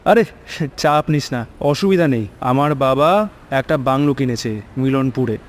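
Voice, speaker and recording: A man's voice: medium pitch, animated, measured pace, a little hiss or hum, close to an everyday voice. A man playing a reassuring, casually persuasive friend in a cartoon. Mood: breezy, reassuring. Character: breezy, reassuring young male friend in a cartoon.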